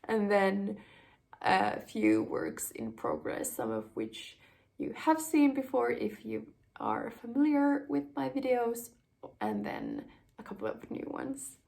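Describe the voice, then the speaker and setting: A woman's voice: a little high, takes it slowly, gravelly. A young woman from Finland hosting a knitting podcast, chatting casually with fillers and small laughs while her voice recovers from an illness. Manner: relaxed, rambling, many fillers and small laughs. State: voice still recovering from a cold. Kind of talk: casual chat to camera.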